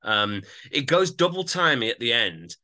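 A man co-hosting a podcast, giving casual track-by-track album commentary with measured critical judgments. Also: mid-range voice, big pitch swings, pushed and bright. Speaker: podcast co-host.

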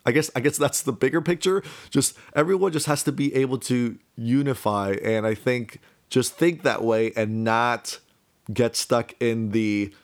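A man's voice: medium pitch, a little slow. Young man, rambling and hesitant. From Miami, United States. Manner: rambling, hesitant, thinking aloud. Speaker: speaker telling about own life. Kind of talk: casual personal monologue.

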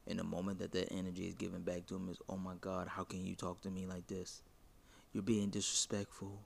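Blunt, gravelly low-voiced man. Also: monotone, brisk. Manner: blunt, profane, emphatic. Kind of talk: heated casual debate.

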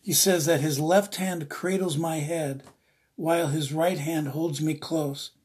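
A preacher from the US East Coast with a husky, slightly rough voice, teaching informally from the Bible in an earnest, rambling way and repeating phrases for emphasis. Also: medium pitch, steady tone, unhurried, darkish tone, a little hiss or hum.

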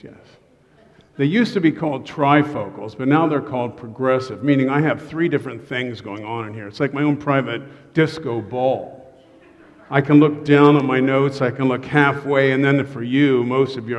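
Joking middle-aged pastor in a reverberant hall. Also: male voice, medium pitch, muffled recording. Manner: joking, self-deprecating asides. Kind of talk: humorous sermon. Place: church sanctuary. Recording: long reverb of a church hall.

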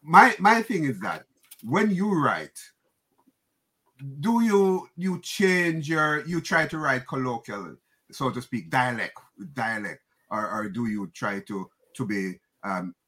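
Bright-voiced Jamaican man, halting and animated. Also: medium pitch, breathy edge. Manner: stammering restarts, animated, often asks "right?". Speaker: interview host. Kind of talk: casual interview chat.

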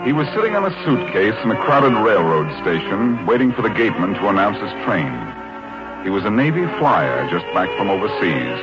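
A man delivering scripted narration as a storyteller in a 1940s radio drama. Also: deep voice. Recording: muffled old radio recording, loud music.

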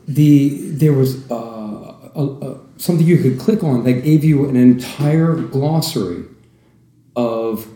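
A man with a deep voice and an American accent, a podcast guest telling stories with lively asides. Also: reverberant. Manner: storytelling, animated asides. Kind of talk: casual podcast chat.

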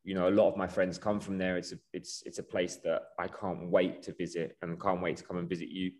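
Male podcast host, low voice, earnest, effusive, rambling questions. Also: little pitch movement, fairly quick, darkish tone. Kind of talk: casual podcast interview.

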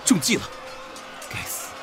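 A man's voice: a little slow, a bit bright, faint music behind, a faint crowd, lightly acted. A man playing a proud, brooding young military officer in an intense, dramatic radio drama style. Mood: alarmed, furious. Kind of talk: Chinese radio drama acting.

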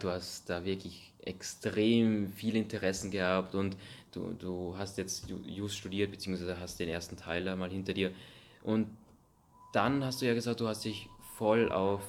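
A young man hosting a podcast, asking questions in a friendly, casual way with slang and halting restarts. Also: low voice, steady tone. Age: young adult. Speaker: podcast host. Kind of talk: casual interview chat.

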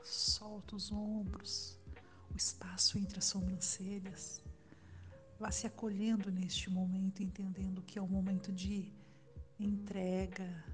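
A Brazilian woman guiding a relaxation meditation in a soft, soothing way, with long pauses and breathy phrase endings. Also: medium pitch. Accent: Brazilian Portuguese. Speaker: meditation guide. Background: background music.

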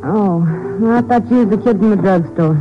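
A woman's voice: mid-range voice, normal speed, music in the background, close to an everyday voice. A woman playing a tired, world-weary roadhouse showgirl in a 1950s radio drama, plain-spoken and wry. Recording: muffled old radio recording.

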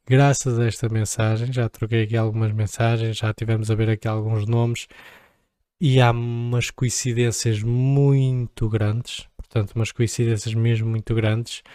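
A man's voice: mid-range voice, warm tone, no echo, clean studio sound. A young man from Portugal with a European Portuguese accent, chatting casually to camera in a joking, rambling way. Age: young adult. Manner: joking, rambling, playful asides. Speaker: vlogger.

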